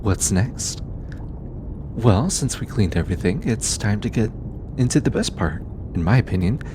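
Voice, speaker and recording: A man voicing a gentle, teasing alien scientist in an intimate audio roleplay, eager and given to chuckling. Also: lowish voice, expressive, normal speed, background noise, natural voice. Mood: reassuring, guiding. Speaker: voice actor.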